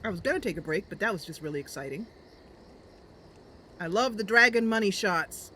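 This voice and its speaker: A woman's voice: medium pitch, expressive, fairly quick, sharp tone, a little hiss or hum. A woman hosting a gaming channel, giving casual, chatty, playful gameplay commentary.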